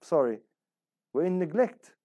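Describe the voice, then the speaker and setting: A man's voice: a little high, big pitch swings, a little slow, dark tone, lo-fi sound. A man giving a religious class as an Islamic teacher, talking colloquially with rhetorical questions, repetition and Arabic religious phrases. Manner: colloquial, rhetorical questions and repetition, role-plays inner voices. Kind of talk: religious class, conversational sermon.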